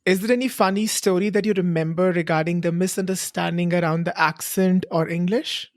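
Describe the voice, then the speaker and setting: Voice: medium pitch, steady tone, brisk, no echo. Podcast host with dry close sound. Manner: warm effusive reactions, reflective fillers like "you know". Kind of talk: casual interview.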